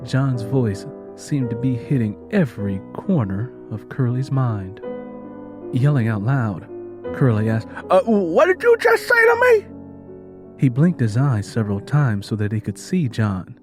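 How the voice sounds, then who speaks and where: A man's voice: medium pitch, measured pace, over music. A man narrating a scripted audio drama as a dramatic storyteller.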